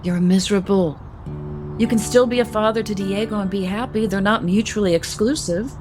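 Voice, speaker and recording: A woman's voice: mid-range voice, moderate pace, music in the background, natural voice. An actress playing a protective, meddling, middle-aged mother of a grown son in a naturalistic audio soap opera. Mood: pointed, coaxing.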